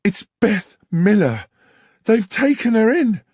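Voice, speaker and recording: A man's voice: high voice, big pitch swings, slow pace, a little mellow, breathy edge, no room sound, clean studio sound, voice pushed up, full character voice. A man reading aloud, voicing a panicked young woman who bursts in screaming. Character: frantic young woman, shrill and breathless.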